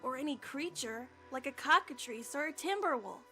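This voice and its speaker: A woman's voice: sits high, expressive, moderate pace, bright, forceful tone, faint music behind. A woman voicing a plucky young cartoon country pony, earnest and take-charge. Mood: puzzled, determined.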